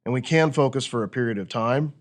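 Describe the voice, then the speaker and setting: Male podcast host, medium pitch, conversational coach who teaches through rhetorical questions. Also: fast. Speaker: podcast host leading a discussion.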